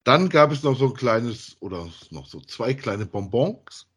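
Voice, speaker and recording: A man's voice: mid-range voice, very animated, unhurried, fairly bright tone, breathy edge. Casual male podcast co-host. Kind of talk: casual chat about audio drama voice actors.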